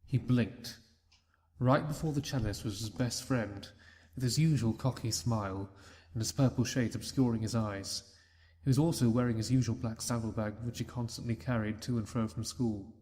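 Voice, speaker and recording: A man narrating a fan-written story in a steady reading voice. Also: medium pitch, moderate pace. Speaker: reader.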